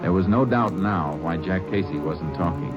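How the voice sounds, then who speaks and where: Man playing a lawman narrator, clipped, matter-of-fact, very low voice, muffled vintage radio recording. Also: lively intonation, normal speed, loud music behind the voice. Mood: terse. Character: steady, terse lawman narrating his case. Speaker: narrator and lead. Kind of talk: 1950s radio drama acting.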